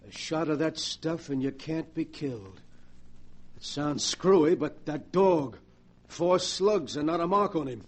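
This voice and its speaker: A man's voice: fairly high pitch, expressive, unhurried. A man acting a ruthless, cocky gangster in 1940s radio drama, talking tough in menacing racketeer slang. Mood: menacing, pushy. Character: ruthless, cocky gangster who believes himself invincible.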